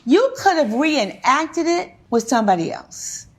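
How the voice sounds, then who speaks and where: A woman's voice: high voice, very animated, a little slow. A woman speaking as a television courtroom judge, questioning and ruling in a stern, emphatic, lecturing way.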